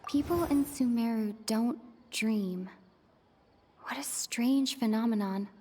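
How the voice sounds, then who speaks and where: Pensive young traveler in heavy reverb. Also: female voice, a little high, slow, darkish tone. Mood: pensive, realizing. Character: pensive young female traveler reasoning out mysteries. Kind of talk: video game voice acting. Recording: long reverb on the voice.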